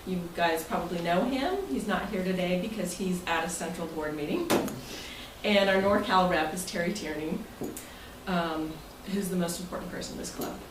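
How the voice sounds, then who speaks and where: A woman's voice: lowish voice, normal speed. American woman addressing a meeting, echoey and distant. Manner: jokey, breaking into chuckles. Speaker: writers' club president and meeting host. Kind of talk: informal speech to a club meeting, part read from prepared text. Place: indoor club meeting room. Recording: echoey, noisy room, distant mic.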